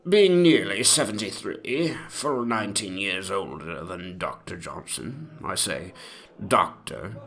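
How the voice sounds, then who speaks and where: A man playing a very old, self-important English gentleman, reading an ornate antique memoir in a deliberate, pompous storytelling manner. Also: low-pitched, animated, full character voice. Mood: fastidious, haughty.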